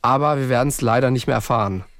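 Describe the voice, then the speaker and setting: A man with a medium-pitched voice and noticeable vocal fry talks as a podcast co-host. He is reflective and halting, circling back over his phrases in casual conversation. The sound is dry and free of room echo. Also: a bit bright. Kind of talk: casual true-crime podcast discussion.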